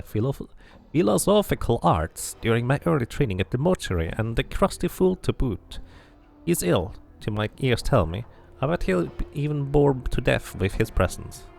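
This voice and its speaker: A man's voice: mid-range voice, big pitch swings, normal speed, creaky, a little mellow, voice pushed up. A man voicing a cranky, scornful old woman who gossips and mutters insults in a fantasy game. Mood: scornful, amused.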